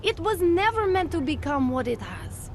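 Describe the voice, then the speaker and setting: High-voiced, fervent corporate recruiter. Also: female voice, big pitch swings, moderate pace, slight background noise, heavily acted. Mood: defensive, assured. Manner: fervent, polished sales pitch delivery. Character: earnest, persuasive female corporate recruiter, idealistic true believer. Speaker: company representative. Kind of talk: video game dialogue acting.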